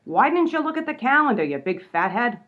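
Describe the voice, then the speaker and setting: A woman's voice: a little high, sing-song, a little fast, a bit bright, dull audio. Woman playing an exasperated, scolding gangster moll. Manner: nagging, slangy old-time gangster talk. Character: exasperated, scolding tough female gangster sidekick. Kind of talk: comedy radio play acting.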